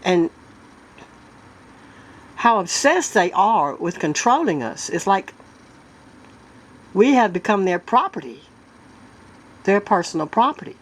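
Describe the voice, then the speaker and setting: A woman vlogger talking casually to her viewers, her nose congested from a sinus illness. She speaks earnestly and haltingly, clearing her throat and coughing often. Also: mid-range voice, sing-song. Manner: earnest, halting, with many restarts.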